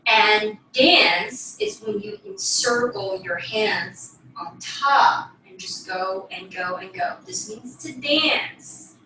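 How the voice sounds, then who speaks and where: Bright-voiced young woman teaching in echoey room. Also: fairly high pitch, vocal fry. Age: young adult. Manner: casual explaining with frequent check-in "right?". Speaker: ballet teacher and host. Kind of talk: instructional demonstration, explaining to camera. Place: small bedroom, indoors. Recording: echoey room, distant mic, faint noise.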